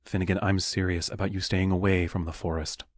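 An actor playing a stern schoolteacher in an audio play, speaking in a firm, measured way. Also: male voice, low voice, level tone.

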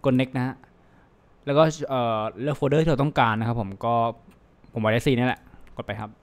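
A man giving a casual step-by-step software tutorial into a computer microphone, ending nearly every phrase with polite particles. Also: mid-range voice, brisk. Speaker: tutorial host. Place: indoors at a computer, voice over screen capture.

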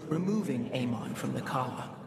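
An actor playing a calm, dutiful alien advisor in a video game, measured and formal as he explains. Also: mid-range voice, creaky, loud music behind the voice.

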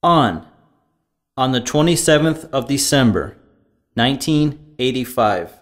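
A man narrating a language course, reading scripted grammar terms and vocabulary in a deliberate, clearly enunciated way. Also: medium pitch, lively intonation. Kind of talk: scripted lesson reading, word drills.

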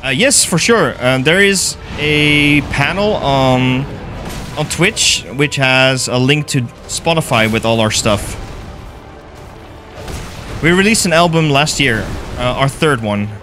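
A man's voice: mid-range voice, slow, faint music behind. A young man from the Netherlands with a Dutch accent, streaming a game live, reading chat and swearing freely as he plays. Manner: excitable, swears freely, reads chat aloud. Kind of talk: live gameplay commentary, chatting with viewers. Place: gaming room indoors.

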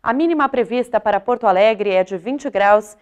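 A woman's voice: medium pitch, normal speed, smooth, muffled recording. A young woman with a Brazilian accent presenting a scripted weather forecast. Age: young adult.

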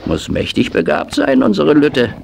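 Casting: older male stable hand, heavily creaky, animated, teasing, for a children's radio play. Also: on the high side, moderate pace, exaggerated voice. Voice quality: gravelly. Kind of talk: 1980s German children's audio drama acting.

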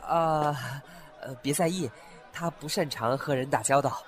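A man's voice: on the high side, measured pace, close to an everyday voice. A man playing a talkative, curious young antique-shop owner who often muses aloud to himself. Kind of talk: fan audio drama acting.

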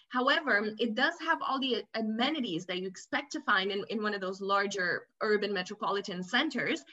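A woman's voice: medium pitch, pushed and bright, muffled recording. A young woman from Romania giving a webinar presentation as a student recruitment officer, friendly and repeating words as she searches for them. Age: young adult. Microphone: video call.

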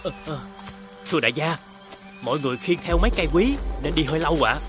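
A man voicing a nervous, fawning servant in an animated fairy tale. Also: a little high, fast talker, close to an everyday voice. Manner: fawning, hesitant. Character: obsequious, nervous male servant of a lord. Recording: loud music behind the voice.

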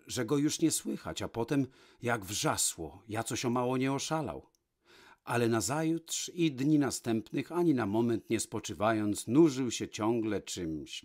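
A man narrating a fairy tale from a script, with measured, dramatic pauses. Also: medium pitch, a little mellow. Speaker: storyteller, narrator.

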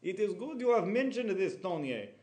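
A man playing a tender, melodramatic Soviet father in a comic radio play, halting and theatrical. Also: sits high, very animated, darkish tone, echoey room. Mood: gentle, conspiratorial. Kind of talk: old-time radio comedy acting.

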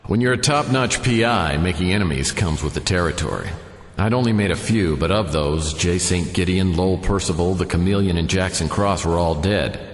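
A man's voice: low voice, moderate pace, roomy sound, big-room sound, lightly acted. An actor playing a wry, hard-boiled private detective, narrating his case dryly in a noir radio drama. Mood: wry, brooding. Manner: dry, wisecracking.

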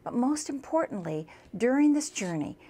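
A woman's voice: fairly high pitch, very animated, normal speed, warm tone. A woman speaking as a college instructor, explaining a program in an emphatic, promotional way. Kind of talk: explanatory promotional talk about a class.

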